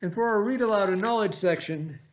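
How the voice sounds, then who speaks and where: A man in his forties, a teacher, giving slow step-by-step craft instructions to children from a classroom webcam. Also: high-pitched, low-quality recording. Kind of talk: remote classroom craft lesson. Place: classroom, indoors. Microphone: webcam at desk.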